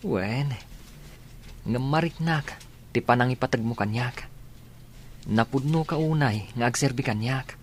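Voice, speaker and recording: A man plays an affectionate, respectful young student son in a radio drama. His voice sits at a middle pitch. There is clear noise behind him. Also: measured pace, long pauses, natural voice. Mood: warm, grateful. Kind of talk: radio drama acting in Ilocano.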